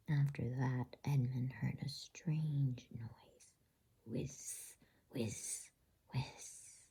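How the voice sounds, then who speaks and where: A woman whispers a storybook in ASMR style, very breathy and low in pitch. Her delivery is hushed and slow, as a bedtime reading. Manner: soft, unhurried, hushed.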